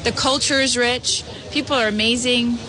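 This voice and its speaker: A woman's voice: fairly high pitch, fairly even intonation, normal speed, low-quality recording. A young woman born in Ukraine, a volunteer, giving short, heartfelt answers that trail off with "yeah," outdoors at a busy crossing. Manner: short, warm, emotional answers ending in "yeah". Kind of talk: street interview answers. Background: crowd.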